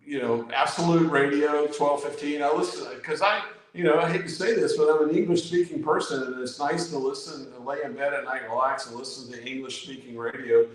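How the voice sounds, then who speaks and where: A man's voice: fairly high pitch, steady tone, fairly quick, hardly any pauses. Man in his sixties, echoey room. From Virginia, USA. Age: 60s. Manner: enthusiastic storyteller, many "you know"s. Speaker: guest. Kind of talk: casual live-stream chat.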